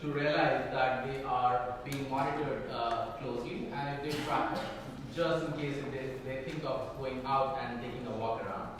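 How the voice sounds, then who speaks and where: A man's voice: mid-range voice, steady tone, moderate pace, background noise. A man presenting at a conference in a hotel ballroom, with many fillers and restarts as he explains a case. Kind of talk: conference talk with Q&A. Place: hotel conference room on stage. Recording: echoey hall, far from the mic.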